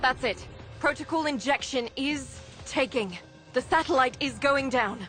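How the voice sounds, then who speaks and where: A resolute rebel commander briefing a squad in a video game. The voice is fairly high and authoritative. Light music plays underneath. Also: female voice, a little slow, a bit bright. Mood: brisk, commanding. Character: commanding, determined woman leading a rebel operation. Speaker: mission commander. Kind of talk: video game character acting.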